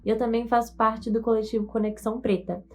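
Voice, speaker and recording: A woman's voice: on the high side, little pitch movement, fast, darkish tone. An eighteen-year-old woman, a university student, telling her story in a conversational way and sometimes searching for words. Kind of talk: personal testimony to camera.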